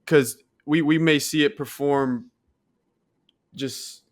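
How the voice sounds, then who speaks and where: A man's voice: a little high, steady tone, takes it slowly. A man co-hosting a podcast in casual, reflective conversation. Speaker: podcast co-host. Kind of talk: casual chat.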